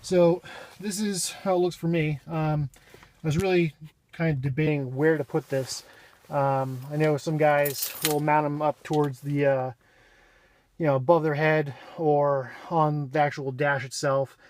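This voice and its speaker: Higher-pitched man, casual and noisy walk-around. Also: steady tone, measured pace, a little mellow. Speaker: vlogger showing his own vehicle radio install. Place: in and around his parked vehicle. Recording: noisy handheld recording around a vehicle.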